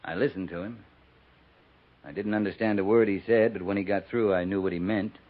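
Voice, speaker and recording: An actor playing a seasoned, understated frontier cavalry captain in a 1950s radio western, speaking in a clipped, dry way. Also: male voice, lowish voice, steady tone, fairly quick, natural voice. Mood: grave. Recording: muffled, noisy 1950s radio recording.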